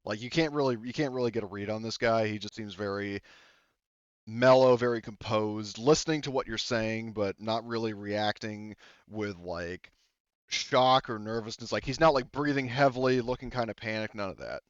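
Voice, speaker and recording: A man's voice: on the low side, moderate pace, clean tone, dry recording, dull audio. A man running a tabletop game as game master, rambling and thinking aloud with many fillers.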